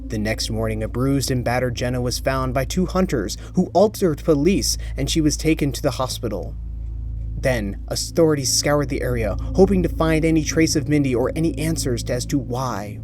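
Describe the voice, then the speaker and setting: A man with a mid-pitched voice reads a scripted horror story. His delivery is dramatic and measured, with suspenseful pauses, and music plays clearly underneath. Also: lively intonation. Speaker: narrator. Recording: music behind the voice.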